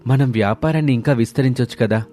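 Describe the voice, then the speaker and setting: A man's voice: medium pitch, fast, dark tone, soft background music, natural voice. A man voicing a supportive, enterprising adult brother in a moral story. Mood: eager. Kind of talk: moral story character acting.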